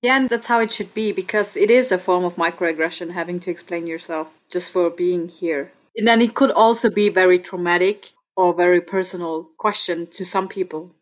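A woman's voice: fairly low pitch, fairly quick, hardly any pauses, low-quality recording. Laughing young woman from Austria. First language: German. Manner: reflective, laughs often. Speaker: interviewee. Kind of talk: casual conversation.

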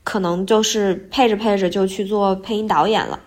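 A woman's voice: mid-range voice, fairly even intonation, normal speed, a little mellow. Candid woman in casual interview chat. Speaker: podcast guest, voice actor.

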